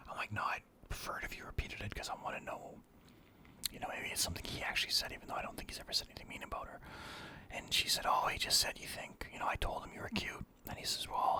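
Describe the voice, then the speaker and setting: A man telling a personal story casually in a breathy whisper, animatedly acting out both sides of conversations. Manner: animated retelling with quoted dialogue, swearing freely.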